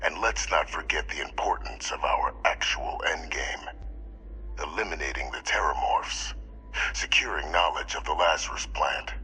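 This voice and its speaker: An actor playing a smooth, scheming older male villain in a video game, speaking formally and persuasively with breathy phrase endings. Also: very deep voice, sharp tone, full character voice. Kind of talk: video game character dialogue.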